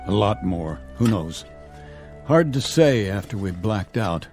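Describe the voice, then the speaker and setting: A man playing a stern, level-headed colonel commanding a stranded space crew in a radio drama, with a measured, authoritative manner. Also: fairly low pitch, expressive, a little mellow, soft background music. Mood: resolute, matter-of-fact.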